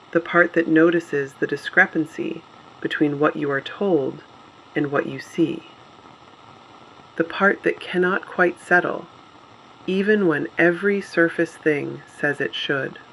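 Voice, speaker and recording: A performer playing a solemn, resolute resistance radio host in a scripted dystopian drama, speaking gravely and deliberately in short phrases. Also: mid-range voice, pushed and bright, a little hiss or hum, full character voice, low-quality recording.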